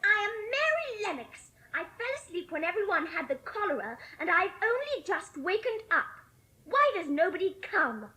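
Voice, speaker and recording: A woman's voice: very high voice, normal speed, heavily acted, low-quality recording. A female performer playing a sullen, fretful orphan little girl in a dramatised audiobook, with a curt, contrary way of speaking. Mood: puzzled, indignant. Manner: curt, flat-worded, contrary.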